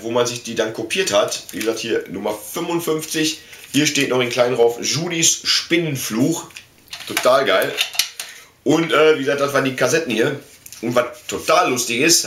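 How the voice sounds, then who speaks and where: A man in his forties talks to camera like a keen collector, loose and slangy, at an average male pitch. The room rings noticeably around his voice, and faint noise sits behind it. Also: fairly bright tone, roomy sound. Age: 40s. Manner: colloquial, slangy, enthusiastic fan talk. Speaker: YouTube vlogger and collector. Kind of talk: casual unboxing chat. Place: small indoor room full of collectibles.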